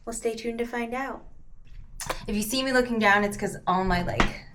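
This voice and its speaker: A woman's voice: on the high side, moderate pace, background noise. A woman in her thirties, born in California with Cantonese as her first language, chatting casually while she does her makeup. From San Francisco Bay Area, California. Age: 30s. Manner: chatty, peppered with "like", soft-spoken while doing makeup. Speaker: beauty vlogger. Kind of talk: casual get-ready-with-me chat.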